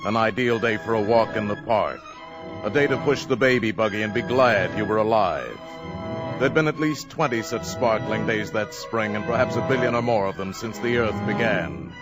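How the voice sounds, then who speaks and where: A man narrating a 1950s radio drama in a measured, dramatic storytelling voice. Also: on the low side. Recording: old radio broadcast with music.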